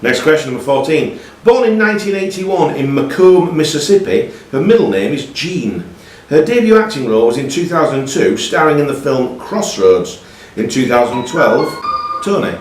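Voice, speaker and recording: Male quiz host, animated intonation, reading out questions, roomy and slightly distant pub recording. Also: fairly high pitch, moderate pace, hardly any pauses. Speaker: quiz master. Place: pub room during a live quiz.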